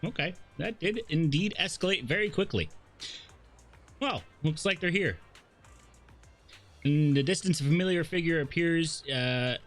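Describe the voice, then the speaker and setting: A man with a fairly high voice is streaming a video game. He talks casually, slipping between reading dialogue and slangy, irreverent reactions. Music plays softly behind him.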